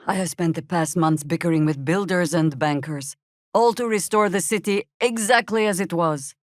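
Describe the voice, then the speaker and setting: A woman's voice: lowish voice, dry recording, close to an everyday voice. An actress playing a world-weary older female druid warrior in a video game, dry, wry and commanding. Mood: weary, sardonic. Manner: dry, wry, measured and grave.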